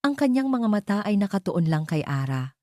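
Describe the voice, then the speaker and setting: A woman's voice: medium pitch, big pitch swings, a little fast, mellow, dry recording, studio quality. A woman narrating a scripted romance story in a dramatic, sentimental way.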